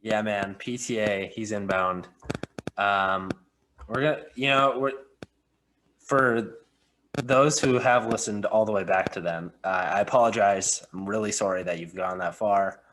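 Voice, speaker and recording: A man's voice: lowish voice, fairly even intonation, measured pace, background noise. A man hosting a film podcast in casual chat, full of joking, rambling banter. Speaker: podcast host.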